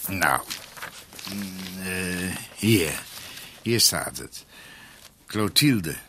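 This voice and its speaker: An actor playing an ageing, scholarly doctor in a radio drama, earnest and brooding, halting as he searches for words. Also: sits low, animated, pushed and bright, a little hiss or hum, exaggerated voice. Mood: grave, reflective. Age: older.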